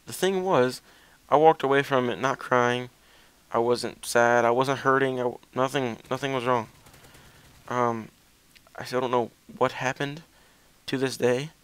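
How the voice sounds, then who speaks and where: Smooth-voiced young man rambling casually. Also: mid-range voice, unhurried, long pauses, a bit bright, dry recording. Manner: self-deprecating, hesitant. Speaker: gaming video commentator.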